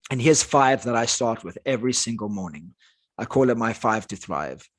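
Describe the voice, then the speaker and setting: A man with a South African accent, his first language Afrikaans, speaking as a life coach guest in a podcast interview with energetic, emphatic delivery. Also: mid-range voice, moderate pace. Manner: energetic, emphatic motivational talk.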